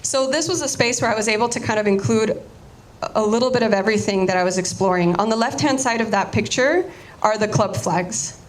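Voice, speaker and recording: A woman's voice: on the high side, a little fast. A Cuban-American woman whose first language was Spanish, giving a casual artist talk and often restarting her sentences. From United States, Cuban-American family.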